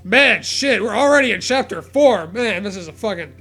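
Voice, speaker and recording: A man co-hosting a comedic fan fiction reading, profane, sarcastic and excitable, with laughter often in his delivery. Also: high-pitched, moderate pace, soft background music. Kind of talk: comedic read-aloud with riffing.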